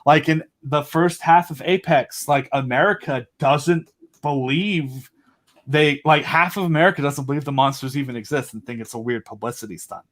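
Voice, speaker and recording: A man's voice: fairly high pitch, normal speed, a bit bright. Eager, rambling man in a chat. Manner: enthusiastic and rambling, with frequent fillers. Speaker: podcast guest and audio drama creator. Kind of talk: casual conversational chat.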